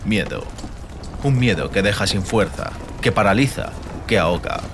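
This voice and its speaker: A man reads a fantasy audiobook as narrator, with a solemn, measured and theatrical delivery. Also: fairly low pitch, expressive, noisy background. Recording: music bed under the voice. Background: music.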